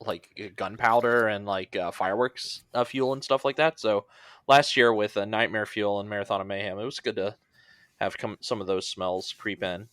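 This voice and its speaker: A man's voice: lowish voice, fairly even intonation, normal speed, fairly bright tone, clear, resonant tone, dry recording. Easygoing man chatting on a dry podcast mic. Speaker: podcast co-host. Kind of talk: casual podcast chat.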